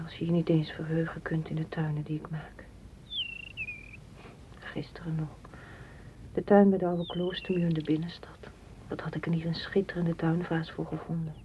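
Actress as sad, withdrawn woman, dark low voice. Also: close to an everyday voice. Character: withdrawn, sad woman, a garden maker, estranged from lover. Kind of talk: Dutch radio play acting. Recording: faint noise of old radio recording.

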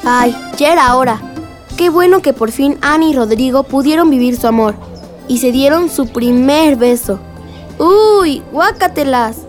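A woman playing a curious, cheeky young girl with an expressive, inquisitive manner in a radio drama. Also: high voice, a little slow, faint music behind. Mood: playful.

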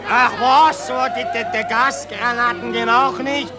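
Casting: befuddled Austrian army commander, very high excitable voice, stammering bluster, music and revellers behind. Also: male voice, normal speed. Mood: flustered blustering. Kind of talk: satirical radio play acting. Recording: music and noisy crowd behind.

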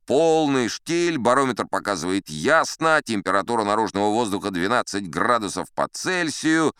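Dutiful first mate, pitched-up voice, loud naval declaiming, literal-minded, audio play, dry sound. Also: male voice, clean tone, no echo. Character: zealous, literal-minded male first mate reporting stiffly.

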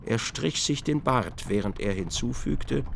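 Gravelly male literary narrator, lively intonation. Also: medium pitch, unhurried. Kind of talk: scripted literary narration in a radio drama. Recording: clearly noisy, vehicle ambience behind.

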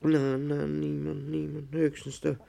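A hunted old man in a vintage radio drama, bitter and afraid. His voice is very creaky and dark in tone, pitched a little high. He pleads haltingly, circling back over the same words. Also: completely flat delivery. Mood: anxious, bitter. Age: older. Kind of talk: 1950s German radio play acting. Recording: 1950s radio play recording.

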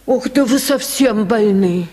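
A man voicing a kindly, practical neighbour woman in a radio storybook reading, solicitous and coaxing, with a husky voice. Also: high-pitched, slow pace, a little mellow, pitched above the performer's own voice. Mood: concerned. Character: kindly, fussing, practical lame woman, washerwoman's friend. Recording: old radio recording with hall reverb.

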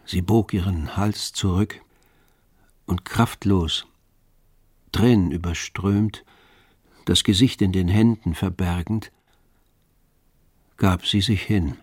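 Low-voiced male radio play narrator. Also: steady tone, slightly rough, no echo, studio quality. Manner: measured, scripted storytelling.

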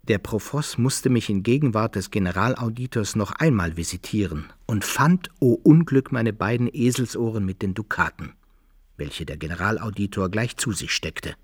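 An actor playing an adventurer who narrates his own wartime misfortunes in a literary radio play, with a husky voice. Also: fairly low pitch, lively intonation, normal speed, dry recording. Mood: narrating, wry. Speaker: first-person narrator.

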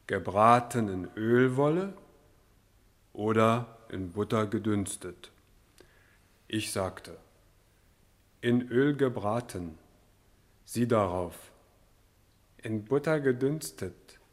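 Very slow, bewildered man in the dock. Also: lowish voice. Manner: halting, bewildered, matter-of-fact. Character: slow-witted, placid hotel porter accused of murder. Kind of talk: satirical radio drama acting. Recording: reverberant hall.

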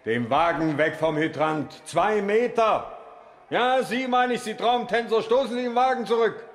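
An actor playing a blunt, busy garage boss in a radio crime drama, brusque and colloquial. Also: male voice, high-pitched, unhurried. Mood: barking orders.

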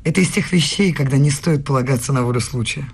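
An actress playing a clever young student in a radio play, answering with coy, formal teasing and quiet boldness. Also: female voice, very deep voice, fast, husky, heavily acted. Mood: composed, knowing. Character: young female student, clever, coy, bold in secret love. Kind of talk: intimate radio play acting.

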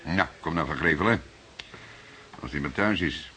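A man playing an unhurried, wry, stubborn veteran police detective in a radio drama, speaking in a deliberate, dry, fatherly way. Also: rumbling low. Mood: musing, dry. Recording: noisy archive radio drama recording.

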